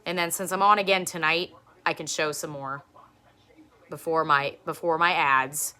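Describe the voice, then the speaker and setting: Chatty woman with a bright, low voice. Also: fairly even intonation, moderate pace. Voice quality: low speaking voice. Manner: chatty, rambling, laughs often. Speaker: live stream host. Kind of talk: casual live-stream show and tell.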